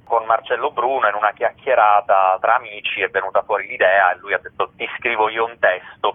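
A theatre man giving conversational, explanatory interview answers in a halting way. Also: lowish voice. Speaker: theatre actor-director, interview guest. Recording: narrow tinny phone line.

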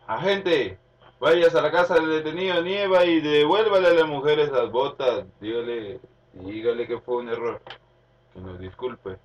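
A man with an Argentine accent voicing a gruff, soft-hearted rural police commissioner who gives orders haltingly in a spoken story. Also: on the high side, natural voice. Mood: subdued, apologetic. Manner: commanding, halting, repeats himself. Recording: dull recording, distant, under music.

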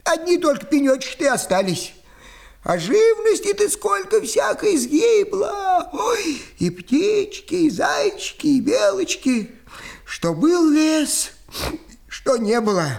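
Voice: high-pitched, sing-song, slow pace, gravelly, exaggerated voice. A performer playing a tiny, spry old male forest goblin in a fairy-tale audio play, grumbling and boastful, with folksy exclamations and repeated words. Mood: lamenting. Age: older.

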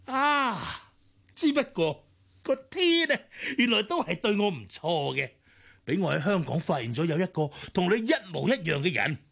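An actor playing a scheming middle-aged villain, mocking and gloating in a husky, gravelly voice, in a Hong Kong Cantonese radio drama. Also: male voice, sits high, big pitch swings, fairly bright tone, full character voice. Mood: smug scheming. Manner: drawn-out, mocking delivery. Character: scheming older male villain, gloating and ruthless.

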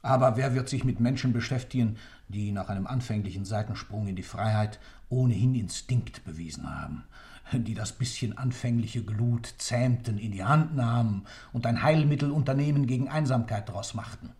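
An actor in a German radio play, playing an impassioned defendant who declaims a dark creed in fervent, rhetorical speech. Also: male voice, medium pitch, normal speed, lightly acted. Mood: insistent, reasoning.

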